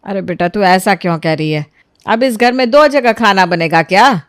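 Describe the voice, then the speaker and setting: A woman's voice: medium pitch, animated, normal speed, no echo, natural voice. An exasperated older mother-in-law from a family storybook , as a woman narrator plays her. She berates and laments like a worn-out head of the household, in a dry, close recording. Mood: weary, fed up.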